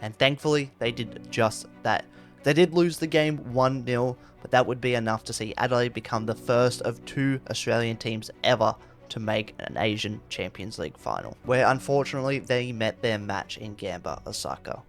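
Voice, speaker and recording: A man's voice: mid-range voice, normal speed, faint music behind. A man reading scripted narration for a football history video.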